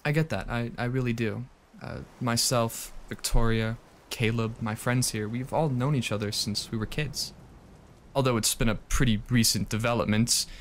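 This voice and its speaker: A man's voice: mid-range voice, a little hiss or hum, close to an everyday voice. An actor playing a young male radio host, off air and speaking in an earnest, thoughtful, slightly wry way. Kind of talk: audio drama acting.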